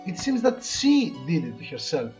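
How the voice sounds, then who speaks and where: A man reads visual novel narration aloud as a let's play co-host, his pitch moving up and down freely. He drops into casual commentary between passages. The audio is slightly muffled, with game music faintly behind him. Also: wildly swooping pitch, unhurried, darkish tone. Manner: corrects co-player's words.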